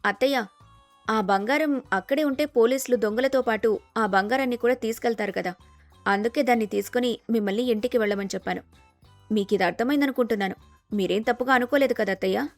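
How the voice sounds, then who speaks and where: Woman playing a clever young wife in a village story, highish voice, earnest and firm, soft music behind. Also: fast, dry recording, close to an everyday voice. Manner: earnest, respectful but firm. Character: clever, determined young village daughter-in-law.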